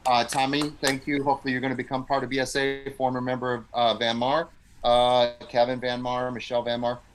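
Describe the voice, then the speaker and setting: A man's voice: mid-range voice, completely flat delivery, brisk. A man leads a casual meeting on a video call as an acting coach. He sounds encouraging and gives directions to his group, with a mid-range voice.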